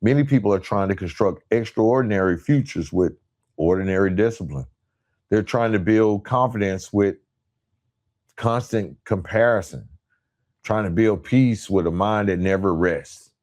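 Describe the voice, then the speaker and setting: Male podcast host, lowish register, emphatic motivational speaker, direct address, rhetorical and repetitive phrasing. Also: normal speed, darkish tone.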